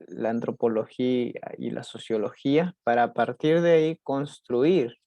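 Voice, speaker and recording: A young Peruvian man with a Peruvian accent presents a class topic, halting often and restarting his phrases. His voice is dark and gravelly. The recording is dry and close, with no room sound. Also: medium pitch, no room sound. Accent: Peruvian Spanish. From Peru. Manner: halting, many restarts and fillers. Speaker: student presenter.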